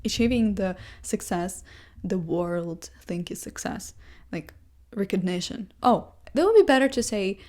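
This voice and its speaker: A young woman with a first language other than English, chatting casually and self-deprecatingly as she thinks out loud, full of fillers and restarts. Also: mid-range voice, lively intonation, a little slow. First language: not English. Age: young adult. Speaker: vlogger. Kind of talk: casual rambling monologue. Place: seated indoors in a chair.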